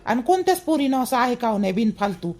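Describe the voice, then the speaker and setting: A man acts out an older village mother in a cartoon with a high-pitched voice. She frets, scolds and fires off rhetorical questions in a Vidarbha Marathi accent. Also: big pitch swings, quick pace, a little mellow. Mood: indignant worry.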